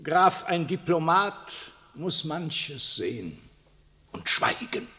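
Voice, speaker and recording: An imperious aging pope in a 1960s radio drama, lofty and cold. His pitch swings very widely, and the voice rings with a long reverb. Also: very animated, slow, bright, forceful tone, exaggerated voice. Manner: lofty, cold, uses royal we. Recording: long reverb of a large room.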